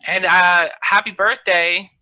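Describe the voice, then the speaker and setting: A man's voice: high-pitched, steady tone, slow, pushed and bright. A man hosting a podcast over a video call in casual, joking conversation. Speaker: podcast host. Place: remote group video call. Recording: dull lo-fi video call audio.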